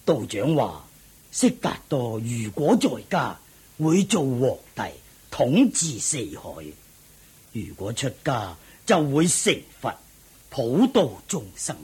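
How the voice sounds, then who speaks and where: Radio drama king male actor, Hong Kong Cantonese: husky, unhurried, swooping intonation, fatherly and fretful. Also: medium pitch, sing-song, a little mellow, exaggerated voice. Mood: troubled, sighing. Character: anxious, doting middle-aged king, father of a prince.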